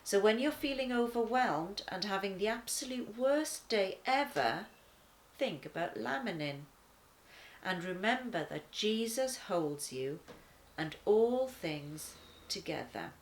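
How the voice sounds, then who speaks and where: A woman reading a children's devotional aloud in a deliberate, expressive manner. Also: medium pitch. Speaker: children's church story reader.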